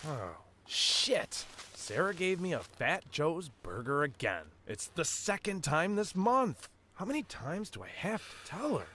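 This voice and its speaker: A man's voice: fairly high pitch, big pitch swings, unhurried, few pauses, bright, forceful tone, slight background noise, exaggerated voice. An actor playing a griping, idealistic young workman in casual video game style. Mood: annoyed.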